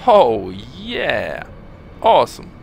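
A man voicing a brash, excitable young fighter. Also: mid-range voice, very slow, creaky, a little hiss or hum, heavily acted. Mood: excited. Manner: enthusiastic, boastful.

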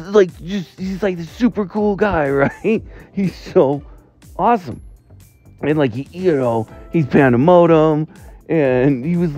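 A man rambles excitedly through a phone voicemail, drawling slowly with a mellow, dark tone. He laughs between phrases. Faint music plays behind him. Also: medium pitch. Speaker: caller leaving a voicemail. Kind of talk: rambling voicemail about movies.

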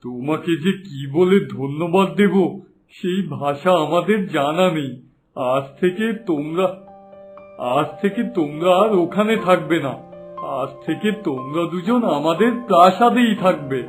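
A loving royal father acted by a man, his voice pitched high. He speaks tenderly and solemnly in a cartoon fairy tale, with music clearly behind him. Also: animated, a little slow. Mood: grateful, solemn. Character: loving, grateful father king blessing his daughter.

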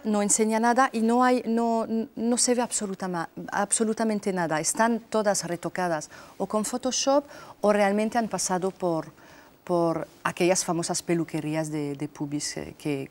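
A woman's voice: mid-range voice, moderate pace, a little mellow. A woman in her thirties from France with a French accent, a TV interview guest speaking frankly with hesitations, on a lapel mic in a studio. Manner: frank, lightly amused, with many hesitations.

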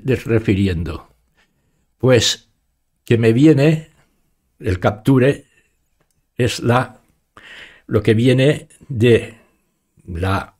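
A man in his sixties with an accent from Spain, giving an informal step-by-step software tutorial and talking each step through aloud into a close studio mic. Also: medium pitch. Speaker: tutorial presenter. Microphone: studio microphone up close, with headphones.

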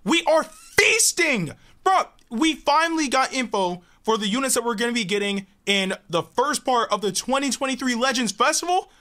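A young man hosting a gaming video, reacting to a trailer with hype, slang and yelling, speaking on a headset in a small home gaming room. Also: very high voice, expressive, moderate pace. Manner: hyped, yelling and laughing, slang-heavy. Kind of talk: excited gameplay reaction.

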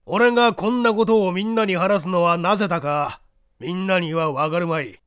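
A man voicing a bold young ringleader of a workers' gang in an audiobook, rough, commanding and threatening. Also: high-pitched, normal speed, fairly bright tone, no room sound, studio quality, pitched above the performer's own voice. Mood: menacing, confessing.

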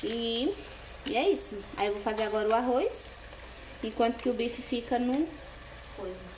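A woman's voice: a little high, animated, normal speed, a little mellow. Chatty young woman cooking, echoey kitchen. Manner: chatty, keeps asking viewers "né, gente?". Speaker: cooking vlogger. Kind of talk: casual cooking chat to camera. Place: small home kitchen with tiled walls. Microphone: camera on the counter, partly hand-held over the stove. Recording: echoey tiled kitchen, faint background noise.

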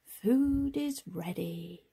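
A woman voicing a gentle, kindly male tortoise in a children's storybook, drawing out words in a soothing way. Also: mid-range voice, expressive, very slow, husky, exaggerated voice. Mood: patient, kindly. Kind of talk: children's picture book read-aloud.

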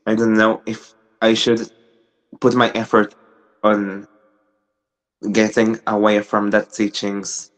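Man, young adult, Argentine Spanish accent, non-native English, hesitant, slow, webcam call from a small room. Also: lowish voice, monotone, fairly bright tone. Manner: hesitant, restarts, gestures while talking. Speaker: caller asking a question. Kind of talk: hesitant personal question. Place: small room with brick wall, indoors. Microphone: video call webcam.

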